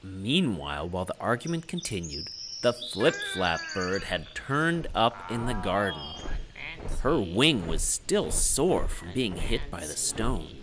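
A man narrating a children's story in an expressive, theatrical way. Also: deep voice, slow pace, noisy background. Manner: expressive, dramatic delivery. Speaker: storyteller, puppet show narrator.

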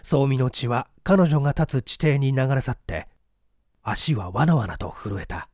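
A man reads literary fiction as a dramatic narrator. His voice is mellow and middle-pitched, moving widely in intonation. It is recorded very dry. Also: lively intonation, unhurried, dry recording. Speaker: audiobook narrator, stage actor.

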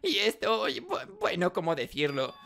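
A man's voice: high-pitched, wildly swooping pitch, a little slow, creaky, pushed and bright, full character voice. A man voicing a cowardly nerdy teenage boy, whiny, stammering and overdramatic. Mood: sheepish.

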